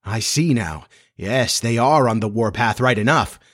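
A man voicing excitable young children in a storybook, eager and exclaiming. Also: medium pitch, a little slow, dry recording, clean studio sound. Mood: excited.

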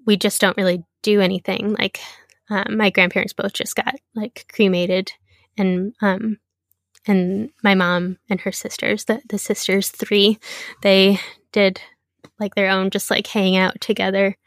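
A young adult woman being interviewed, talking in a halting, casual way with many fillers and restarts. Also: medium pitch, little pitch movement, dry recording, studio quality.

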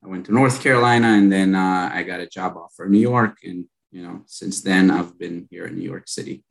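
Low gravelly Israeli-accented man. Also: brisk, rough recording. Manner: relaxed storytelling, frequent fillers and trailing off. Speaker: company founder and guest. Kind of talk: casual interview chat.

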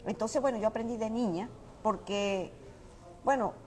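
A woman's voice: fairly high pitch, moderate pace, a little mellow. A woman from Venezuela, a guest telling personal stories in a casual interview. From Mérida, Venezuela. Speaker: interview guest, small business owner. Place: restaurant. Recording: faint background noise of a restaurant.

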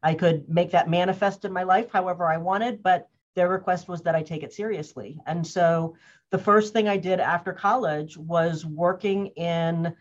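A woman in her fifties from the United States speaks as an interview guest, earnest and thoughtful, often laughing and pausing on fillers. Her voice sits fairly low. The audio is dull and lo-fi. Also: steady tone. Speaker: guest, organizational wellness consultant. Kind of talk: conversational interview answers. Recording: muffled lo-fi audio.

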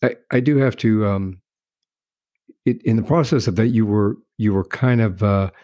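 Low, mellow-voiced male interviewer. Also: dry recording, studio quality. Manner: halting, restarts, careful phrasing. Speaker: interview host. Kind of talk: conversational interview.

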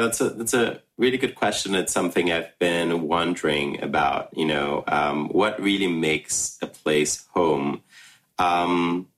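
A man in his thirties from Ghana, first language Russian, answering interview questions reflectively with many pauses and restarts. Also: sits low, a bit bright. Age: 30s. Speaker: filmmaker.

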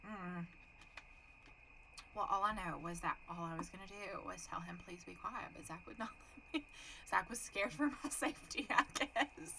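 A woman's voice: mid-range voice, moderate pace, creaky, bright, forceful tone, a little hiss or hum, poor audio. A young woman with a Texan accent co-hosting a podcast, chatting frankly, swearing casually and laughing often. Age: young adult. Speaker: podcast co-host. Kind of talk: casual chat.

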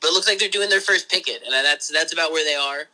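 High-voiced podcast host, very bright. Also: male voice, fast, poor audio. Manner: rambling, swearing, excited. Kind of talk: casual podcast chat. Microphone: phone call.

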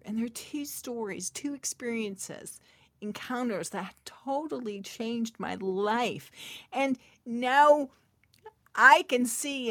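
Slow, soft-spoken woman telling a testimony. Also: fairly high pitch, dry recording. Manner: soft, intimate storytelling with laughs. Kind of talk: testimony sermon.

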